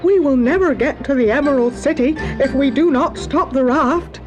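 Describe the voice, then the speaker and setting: Woman putting on an excitable high-pitched scarecrow. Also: normal speed, music in the background, natural voice, rough recording. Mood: anxious, calling out. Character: excitable male scarecrow, cheerful, anxious friend.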